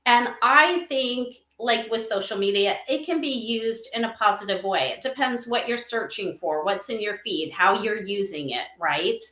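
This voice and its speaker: Female livestream guest, upbeat and conversational, sharp bright tone, clearly echoey room sound. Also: mid-range voice, normal speed, few pauses. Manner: enthusiastic, conversational, peppered with "you know". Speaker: interview guest, company advisor. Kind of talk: casual interview chat. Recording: roomy, echoey livestream audio.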